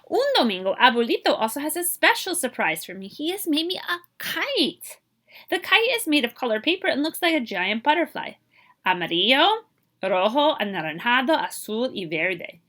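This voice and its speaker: A woman reading a children's picture book aloud in an expressive, emphatic way, moving between English and Spanish as she explains for young listeners. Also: sits high.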